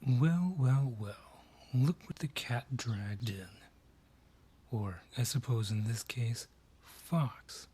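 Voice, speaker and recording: A man's voice: mid-range voice, slow pace, dark tone, breathy edge. An actor voicing a haughty male dryad with a grudge in an audio roleplay, sardonic and theatrical, drawing out each taunt. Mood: mocking, menacing. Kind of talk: scripted audio roleplay acting.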